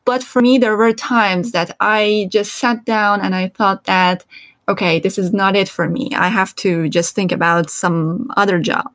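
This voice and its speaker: A woman's voice: mid-range voice, dry recording. A woman from Iran who speaks as a co-hosts a podcast for English teachers, talking earnestly with frequent hesitations. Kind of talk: conversational podcast discussion.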